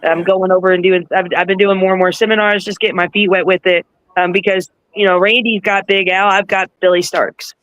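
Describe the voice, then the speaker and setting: A woman's voice: medium pitch, level tone, a little fast, a bit bright, no room sound, poor audio. A woman in her forties chatting casually as a podcast guest, blunt and foul-mouthed. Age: 40s. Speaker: podcast guest, wrestler and trainer. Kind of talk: casual chat.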